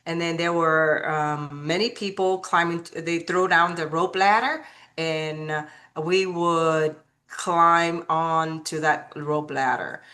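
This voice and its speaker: A woman in her fifties from Vietnam with a Vietnamese accent, telling her life story as an interview guest, halting with many fillers and restarts. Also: sits low, steady tone, pushed and bright. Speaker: martial arts master guest.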